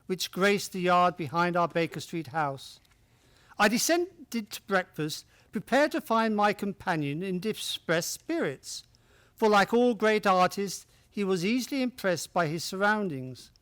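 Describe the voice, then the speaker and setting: A man playing a genial, chatty older gentleman storyteller in an old-time radio play, with courteous old-fashioned manners. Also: high-pitched, smooth. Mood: storytelling.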